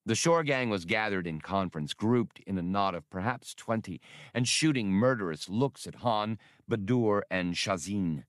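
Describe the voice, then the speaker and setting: A man's voice: on the low side, animated, normal speed, no echo, studio quality. A man reading an adventure story aloud as a dramatic, scripted narration. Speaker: audiobook reader.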